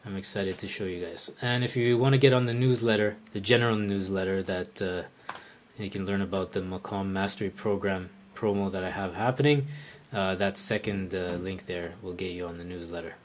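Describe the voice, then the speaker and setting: A man with a fairly low voice gives a live oud lesson, chatting through viewers' questions. He thinks out loud, stopping to restart sentences and filling pauses with ums and uhs. A faint hiss sits under his voice. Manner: thinks aloud with frequent fillers and restarts; relaxed, conversational. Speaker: oud teacher.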